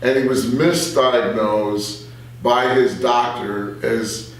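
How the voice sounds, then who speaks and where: A man's voice: fairly low pitch, steady tone, slow. A man talking casually as a talk show host, agreeing emphatically and speaking in a conversational way. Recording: distant, very echoey room, faint noise.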